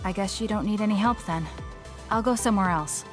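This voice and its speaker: A woman voicing an aloof, level-headed young female soldier in an anime game, with a flat, matter-of-fact delivery. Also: mid-range voice, fairly quick, over music, lightly acted. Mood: cool, matter-of-fact. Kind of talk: anime video game dub acting.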